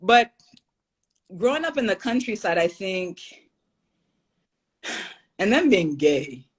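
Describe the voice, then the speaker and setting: A woman's voice: mid-range voice, very animated, slow pace, breathy edge. A young adult woman from Haiti, a podcast guest, talking in an earnest, reflective way and laughing easily. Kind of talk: casual interview talk.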